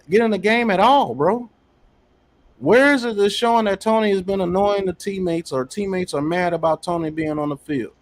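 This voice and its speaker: A man hosting a casual sports podcast, reading out chat comments and arguing his points emphatically. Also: sits high. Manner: animated, emphatic, repeats phrases. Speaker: podcast host.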